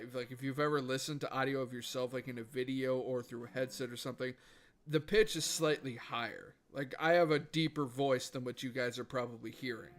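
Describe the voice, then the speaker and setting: A man livestreaming a video game, chatting casually with viewers in a rambling way and swearing freely. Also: mid-range voice. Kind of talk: casual gaming livestream chat. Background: faint background music.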